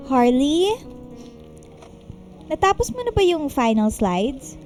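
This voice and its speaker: Snooty female office supervisor, very high voice, condescending, radio drama, music behind. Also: sing-song, slow pace, a little mellow, heavily acted. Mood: brisk, bossy. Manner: curt, condescending. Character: haughty, sarcastic woman supervisor dumping extra work.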